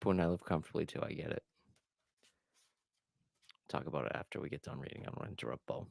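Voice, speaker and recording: Man with a lively Massachusetts-accented ramble. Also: a bass voice, fast, vocal fry, no echo, studio quality. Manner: rambling and profane, full of "like". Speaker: streamer host. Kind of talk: casual chat during a manga read-along stream.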